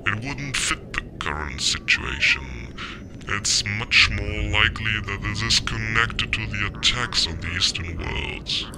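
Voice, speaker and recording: A man playing a grave, calculating spymaster in a science fiction audio drama, speaking in a measured, ominous way. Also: on the low side, expressive, background noise. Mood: grave, analytical. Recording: music behind the voice.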